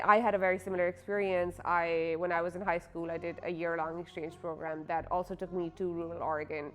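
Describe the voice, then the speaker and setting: A woman author from Pakistan, talking casually in an interview about her writing with many fillers. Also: lowish voice. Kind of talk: interview, personal story.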